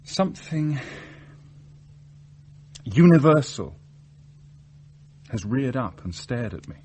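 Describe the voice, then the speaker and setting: An actor playing an earnest, lovestruck research scientist in a radio play, confessing his feelings in a hesitant, deliberate way. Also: mid-range voice, very animated, a little mellow, slight background noise. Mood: hesitant, intense. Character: earnest, lovestruck, self-searching male research scientist from genteel Salisbury. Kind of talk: radio drama acting.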